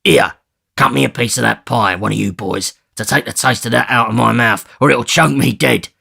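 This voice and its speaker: A man voicing a brutal, surly male housebreaker who growls his words gruffly and forcefully in a storybook reading. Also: medium pitch, moderate pace, exaggerated voice. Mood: bitter. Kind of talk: audiobook character reading.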